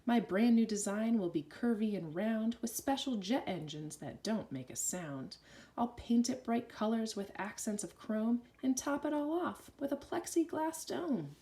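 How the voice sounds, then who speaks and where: A woman reading a rhyming children's story aloud with an expressive, sing-song delivery. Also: on the high side, unhurried.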